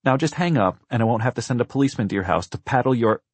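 Adult female dispatcher, read by a man, slightly raised pitch, dismissive, official, audiobook character. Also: animated, fairly quick, gravelly, a little mellow, no echo. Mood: scolding. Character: dismissive, amused adult woman emergency operator.

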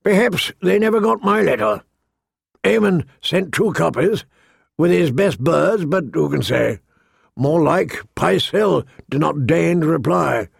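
A man voicing a gruff, grumbling old male military commander who sounds weary and disdainful. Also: a little high, expressive, a little slow, dry recording, clean studio sound, heavily acted.

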